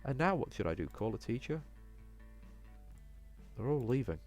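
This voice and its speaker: A man's voice: mid-range voice, sing-song, moderate pace, darkish tone, soft background music, lightly acted. Man voicing an anxious young cat student. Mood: alarmed, unsure. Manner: fretful, talking to himself.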